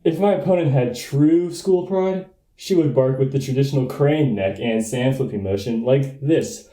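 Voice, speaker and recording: A man's voice: medium pitch, normal speed, warm tone, reverberant, lightly acted. A young man playing a smug, blustering schoolboy running for class president in a comic radio play, with folksy politician bombast. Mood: showy. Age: young adult.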